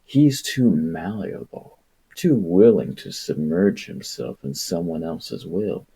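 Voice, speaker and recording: A man's voice: low voice, sing-song, takes it slowly, gravelly, mellow, slightly rough, full character voice. A man voicing stern, cynical adult military recruiters in a novel's dialogue, with a cold manner. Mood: skeptical.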